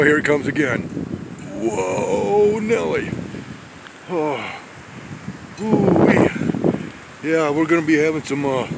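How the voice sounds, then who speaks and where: A man talking casually while he walks small-town streets outdoors in the snow, with rambling, folksy exclamations into a handheld phone. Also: a little high. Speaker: vlogger on a weather walk. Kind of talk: casual walking commentary. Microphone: handheld phone camera. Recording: wind noise on the mic.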